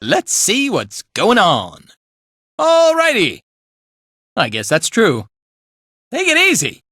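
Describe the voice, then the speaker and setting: An easygoing, playful slacker fighter from a video game. He drawls casually and takes his time, with pitch that leaps all over the place. The sound is very dry and close. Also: wildly swooping pitch, slow pace, pushed and bright, no echo, heavily acted. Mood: laid-back, musing. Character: laid-back, playful, slacker male fighter and guide. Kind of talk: animated video game voice lines.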